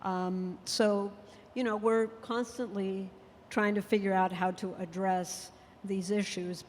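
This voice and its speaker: A woman's voice: mid-range voice, a little mellow, slight background noise. A woman on a conference panel who works in federal public defense, answering earnestly and with many fillers. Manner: earnest, thoughtful, with frequent fillers. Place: conference hall. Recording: long reverb in a hall.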